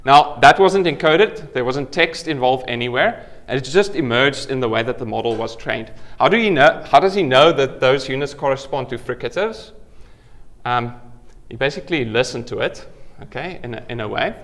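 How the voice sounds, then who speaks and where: A middle-aged South African man lecturing at a conference. He explains ideas in a loose, conversational way, with restarts and quick "okay?" check-ins. His pitch sits in an ordinary middle range for a man. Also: expressive, a bit bright. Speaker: university lecturer giving a conference talk. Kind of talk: academic conference talk.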